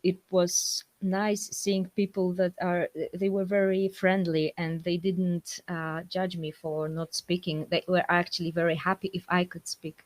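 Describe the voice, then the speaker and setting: A young woman from Poland speaking English with a Polish accent in a casual interview, pausing often with fillers. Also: lowish voice, flat delivery. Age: young adult. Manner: hesitant, many fillers, laughs between answers. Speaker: guest scientist.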